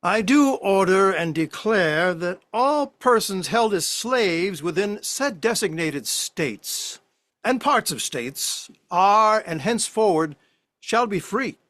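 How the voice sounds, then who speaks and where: Man as solemn statesman, high voice, formal oratory, stage-play reading, dry sound. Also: expressive, takes it slowly, a bit bright, dry recording, full character voice.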